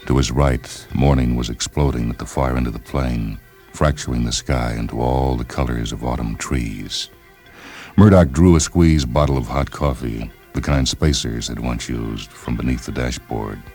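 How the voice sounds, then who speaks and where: A man narrating a science fiction short story in a measured, literary storytelling manner. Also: very deep voice, darkish tone. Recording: music behind the voice.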